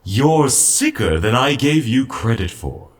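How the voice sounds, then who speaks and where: A man's voice: medium pitch, sing-song, measured pace. A man playing a shady, greedy robot dealer in a comic dub, smug and wheedling. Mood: smug, sly. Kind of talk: comic book dub acting.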